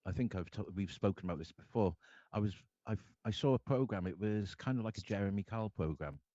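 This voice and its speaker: A very low, mellow-voiced man with a Cardiff accent speaks earnestly, stopping and restarting his sentences as he goes. The sound is dull and lo-fi, dry with no room around it. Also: no echo. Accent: Welsh, Cardiff. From Wales. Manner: earnest, halting, many restarts. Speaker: guest artist. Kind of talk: candid personal storytelling.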